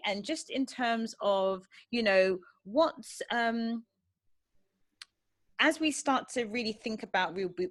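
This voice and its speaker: A woman hosting a casual interview, effusive and encouraging as she talks with her guest. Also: mid-range voice. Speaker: interview host.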